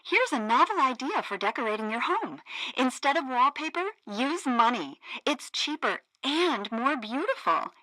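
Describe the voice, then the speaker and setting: Sassy female radio news co-host, peppy and bright, close dry studio sound, satirical game broadcast. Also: high-pitched, moderate pace, no echo, full character voice, rough recording. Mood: upbeat. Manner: animated, exaggerated newsreader delivery.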